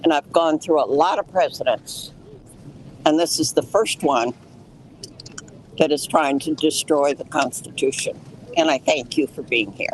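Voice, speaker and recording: An older woman from Colorado, loud and plain-spoken, making a statement outdoors as a case's lead petitioner. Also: low-pitched, unhurried, pauses often, slight background noise, poor audio. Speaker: lead petitioner speaking at a press conference. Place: outdoors on a city street.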